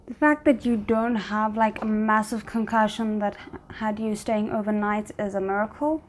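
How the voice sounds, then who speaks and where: A woman's voice: medium pitch, fairly even intonation, normal speed. A woman hosting a reaction video, giving casual commentary and thinking aloud as she goes.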